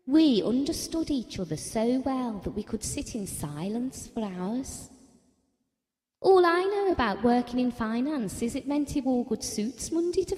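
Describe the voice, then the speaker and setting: Devoted wife, lively and high-voiced. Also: female voice. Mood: fond, wistful. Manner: confiding, colloquial storytelling. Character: devoted working-class wife Ellen, wistful and adoring. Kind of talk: audio drama monologue. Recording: long reverberant hall sound.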